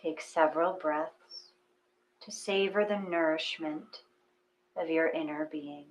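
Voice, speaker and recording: A woman with a fairly low voice leads a guided meditation. She speaks very slowly and gently, leaving long pauses between her phrases. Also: fairly even intonation, poor audio. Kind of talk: slow guided meditation reading.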